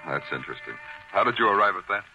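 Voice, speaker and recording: An actor playing a world-weary, sardonic police detective with a deadpan, brooding delivery in 1940s hardboiled radio style. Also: male voice, on the low side, fast talker, full character voice. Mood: dry, probing. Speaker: lead actor and narrator. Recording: muffled vintage 1940s radio recording, music behind.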